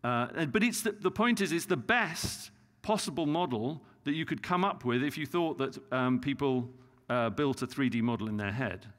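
A man's voice: mid-range voice, lively intonation, moderate pace, fairly bright tone. A middle-aged man with a British accent giving a conference keynote, explaining results with many fillers and restarts. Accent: British English. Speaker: keynote speaker. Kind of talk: conference lecture. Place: large conference hall. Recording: hall reverb.

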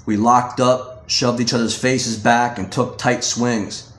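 A man's voice: medium pitch, flat delivery, slow, a bit bright. Echoey male audiobook narrator. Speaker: author reading his own novel.

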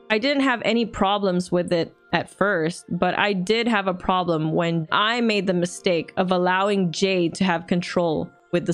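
A young woman streamer reading a viewer's story aloud in an earnest, steady way, then reacting in loose, chatty talk, recorded on a desk mic at home. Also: medium pitch.

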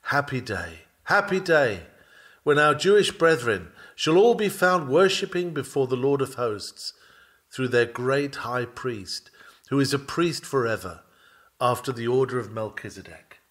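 Guy reading an old-style sermon out loud, medium pitch, formal and solemn, very measured. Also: animated. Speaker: sermon reader. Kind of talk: read-aloud sermon narration.

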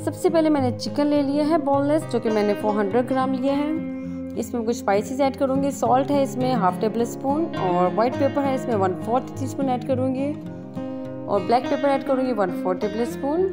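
A woman with a fairly high voice talks through a recipe step by step in a casual way. She mixes English words into her instructions, and plucked guitar music plays clearly behind her. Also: fairly quick. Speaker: cooking channel host.

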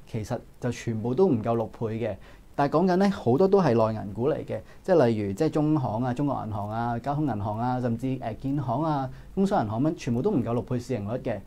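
A man in his thirties with a Hong Kong Cantonese accent, giving brisk analytical stock market commentary in a studio. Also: mid-range voice, warm tone. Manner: brisk, analytical, frequent filler particles. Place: indoor studio.

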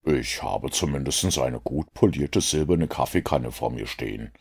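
A man voicing a calm, superior detective in an audiobook, measured and dryly condescending. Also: extremely low pitch, creaky, husky, pitched below the performer's own voice, full character voice. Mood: probing, calm.

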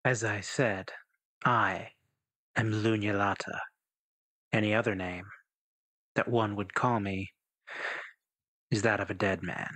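Man playing a slow, menacing, enigmatic stranger. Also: on the low side, fairly even intonation, fairly bright tone. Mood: cryptic, coaxing. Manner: slow, measured, cryptic. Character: enigmatic, menacing man cloaked in smoke, calmly goading. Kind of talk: in-character tabletop roleplay.

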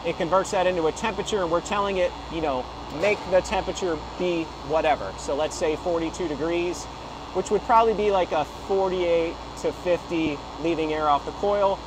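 A man with a fairly high voice explains equipment controls in a loose, conversational way, often stopping to rephrase. He is recording on a phone outdoors beside a working unit. The steady hum of a compressor and fans is clearly heard under his voice. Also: brisk. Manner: casual, explanatory, with frequent "you know" and self-corrections. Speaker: HVAC equipment rep giving a tutorial. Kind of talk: unscripted technical walkthrough. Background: running compressor and fan hum.

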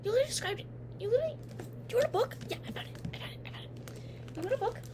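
A woman's voice: extremely high pitch, expressive, racing. A young woman playing a haughty, jealous teen vampire girl in a comedic roleplay, blunt and sarcastic with whispered asides, recorded on a webcam in a dim bedroom. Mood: scolding. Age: young adult. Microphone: webcam at close range. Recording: noisy home webcam audio.

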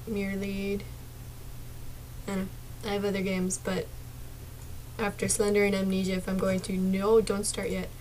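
A young woman streaming a game from her small dark bedroom, chatting casually to viewers with a sore, partly lost voice and drifting into mumbles. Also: mid-range voice, monotone, normal speed, a little hiss or hum, poor audio. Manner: soft-spoken, drifts into whispers and mumbles. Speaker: gaming streamer.

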